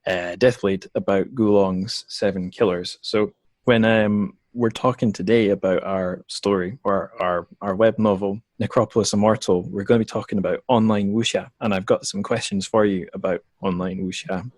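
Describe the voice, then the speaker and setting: A man from Scotland with a notably low voice hosts a casual podcast interview. He feels his way through sentences, rephrasing as he goes. The sound is dry, with very little room in it. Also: steady tone, normal speed, darkish tone, dry recording. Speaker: podcast host.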